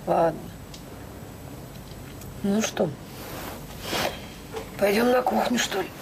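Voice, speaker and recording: An actress playing a loving, anxious middle-aged mother in a Soviet audio drama, speaking softly and intimately. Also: slow. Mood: tender, wistful. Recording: noisy old recording with low rumble.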